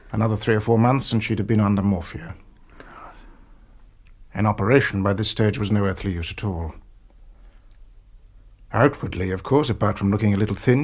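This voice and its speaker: An actor playing a retired British doctor, older, deliberate and measured, in a radio drama. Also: male voice, on the low side. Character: slow, deliberate retired male doctor, reluctant but frank.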